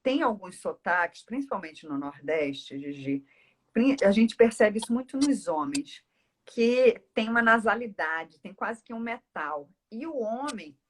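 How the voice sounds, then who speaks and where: A woman's voice: medium pitch, lively intonation, measured pace. A woman from Northeast Brazil with a Brazilian accent, talking at length in a casual, explanatory conversation as a speech therapist. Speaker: speech therapist, interview guest.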